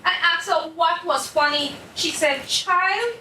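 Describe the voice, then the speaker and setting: A woman's voice: very high voice, heavily acted. A woman playing an outspoken, teasing Caribbean friend who tells stories expressively in a stage play. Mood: amused, animated. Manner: expressive, teasing, drawn-out delivery. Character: playful, outspoken Caribbean girlfriend, teasing storyteller. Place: indoor stage, mic far from actors. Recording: roomy, distant stage recording with noise.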